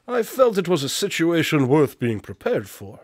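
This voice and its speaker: A man's voice: fairly high pitch, big pitch swings, normal speed, no room sound, studio quality. A man playing a self-assured, analytical detective in a radio drama, precise and drily superior.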